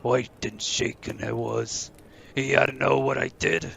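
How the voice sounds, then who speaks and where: A man playing a big-hearted, rough groundskeeper in an audio play, gruff and emotional, with a gravelly voice. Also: medium pitch, flat delivery, a little slow, fairly bright tone, slight background noise. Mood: grieving outrage.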